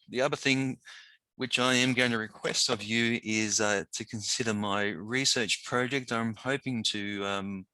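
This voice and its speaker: A man presenting a webinar as a teacher of the deaf, slightly hoarse and talking informally with frequent pauses and fillers. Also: fairly low pitch, sharp tone, no echo, poor audio. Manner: informal, conversational, with frequent ums and restarts.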